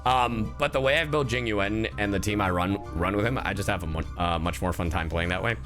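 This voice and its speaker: A man's voice: lowish voice, a little fast. A man chatting casually as a gaming streamer, joking and swearing freely. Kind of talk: casual gaming livestream chat. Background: game music.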